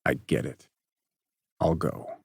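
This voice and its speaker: A man's voice: low-pitched, very animated, slow, gravelly, slightly rough, no room sound, studio quality, exaggerated voice. A man voicing a hurt, withdrawn teenage boy who speaks in a subdued, stammering way.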